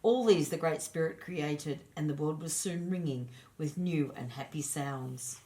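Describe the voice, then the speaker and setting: Female storyteller for children's narration, low register, expressive and deliberate scripted read.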